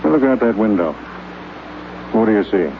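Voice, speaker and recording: An American actor playing an older, kindly senior officer who explains and narrates in a measured, grave way, in 1950s radio drama style. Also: male voice, lowish voice, sing-song. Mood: grave, instructive. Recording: narrow, noisy 1950s radio recording.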